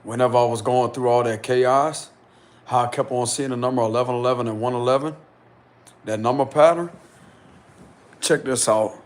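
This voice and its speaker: A man vlogging casually and insistently from a small rented room, with a deep, loud voice. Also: moderate pace, long pauses. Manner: excited, insistent, slangy. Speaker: spiritual vlogger. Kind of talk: casual vlog to camera.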